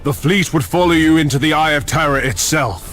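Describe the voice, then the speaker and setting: A man's voice: fairly high pitch, fairly quick, a bit bright, natural voice. Man acting loyal, earnest space fleet officer. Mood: eager, resolute. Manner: formal, earnest military address. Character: loyal, determined male admiral serving a space empire. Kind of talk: scripted dramatic game cutscene acting. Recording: music behind the voice.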